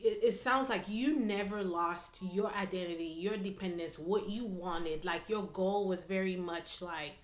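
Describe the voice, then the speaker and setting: A woman with a mid-range voice hosts a casual interview. She talks in an affirming, conversational way. Her voice carries clear room echo. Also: mid-range voice, measured pace, hardly any pauses. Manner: affirming, frequent agreement. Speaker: podcast host, interviewer. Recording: roomy, echoing sound.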